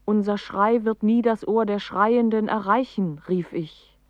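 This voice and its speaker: A woman playing a lonely, imploring poetic voice in a literary radio play, with solemn, sparse, drawn-out phrases. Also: mid-range voice, dry recording, close to an everyday voice. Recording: dry, slightly dull studio recording.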